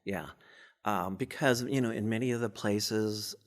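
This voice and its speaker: Halting, low-voiced man being interviewed. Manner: halting, stammers and repeats words. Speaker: interview guest, dispatch expert. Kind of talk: informal interview conversation.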